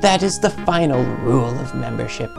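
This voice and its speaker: A woman's voice: rumbling low, lively intonation, fairly quick, gravelly, music in the background, full character voice. A woman voicing a stern, grave fantasy guild elder in solemn, formal speech, as in game dialogue. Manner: solemn, formal, archaic diction.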